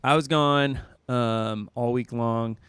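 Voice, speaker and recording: A man's voice: mid-range voice, steady tone, slow, a bit bright, smooth, no echo. A man co-hosting a podcast in casual, rambling chat, full of fillers and jokes. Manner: rambling, filler-heavy, joking. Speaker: podcast co-host. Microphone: close studio mic.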